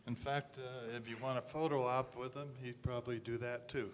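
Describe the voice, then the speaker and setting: A man's voice: medium pitch, level tone, normal speed. A man hosting a live event, making an informal announcement to the audience. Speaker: event host. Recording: distant, echoey hall with faint noise.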